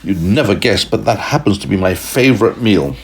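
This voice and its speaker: A man's voice: on the low side, expressive, a little fast. A man voicing a polite, easily offended little green alien in a children's story. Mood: prim.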